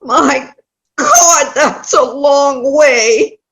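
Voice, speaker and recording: A woman's voice: high voice, a bit bright, heavily acted. A woman playing a querulous, sour old woman who complains about her pains in a comic play reading. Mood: pained, grumbling. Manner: querulous, slow, dramatic complaining. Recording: video call play reading.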